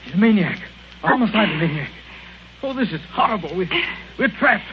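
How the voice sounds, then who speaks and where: A panicked doctor in a 1940s radio melodrama, trapped and desperate. His voice sits extremely high and swings widely, on a dull, faintly hissy old recording. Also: sing-song, a little fast. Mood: desperate, choking.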